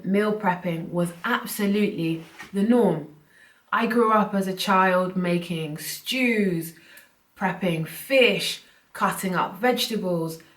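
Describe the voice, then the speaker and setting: A young woman vlogging from home while ill, her voice a little croaky and short of breath, talking in a chatty, earnest way. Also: fairly low pitch, fairly bright tone. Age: young adult. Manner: chatty, earnest, loose run-on phrasing. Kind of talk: casual talk to camera. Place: room at home. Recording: roomy, from a distance.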